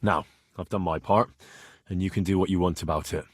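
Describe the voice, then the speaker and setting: A man's voice: low-pitched, steady tone, fairly quick, slightly rough, no echo, clean studio sound. A male actor playing a cheeky, blunt young swordsman who haggles cockily in a medieval video game. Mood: cocky bargaining. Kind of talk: video game character dialogue.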